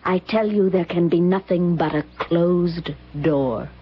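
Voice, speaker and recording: A woman's voice: lowish voice, measured pace, over loud music, lightly acted. An actress playing an anguished young woman resisting forbidden love in an old-time radio drama, speaking formally and with agitation. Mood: reproachful. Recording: muffled lo-fi old radio, hum, hiss.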